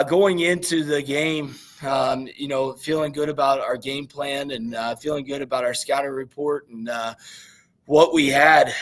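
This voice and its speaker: A man with a naturally raspy voice and a Midwestern American accent, a young high school football coach giving rambling interview answers full of fillers. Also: a bit bright, low-quality recording. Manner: rambling, many fillers and restarts.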